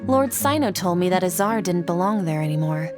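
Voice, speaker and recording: A woman playing a modest, earnest young scholar in a video game, speaking in a measured, formal way. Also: on the low side, lively intonation, lightly acted. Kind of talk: video game dialogue acting. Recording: game music behind voice.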